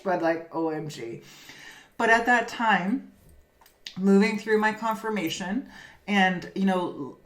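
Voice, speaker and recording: Reflective woman from Denmark, echoey room. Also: mid-range voice. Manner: reflective, halting, frequent restarts and fillers. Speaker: spiritual business coach, podcast host. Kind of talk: personal storytelling monologue.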